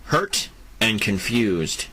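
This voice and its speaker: Slow, bright-voiced male dramatic narrator. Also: fairly low pitch, sing-song, poor audio. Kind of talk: scripted dramatic narration of a superhero audio drama.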